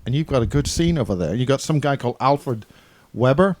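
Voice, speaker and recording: Middle-aged male host, middle pitch, dry sound, corny jokes, relaxed stumbling. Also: brisk, dry recording. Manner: jokey, stumbling, chatty. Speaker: interview host. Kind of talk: casual interview chat.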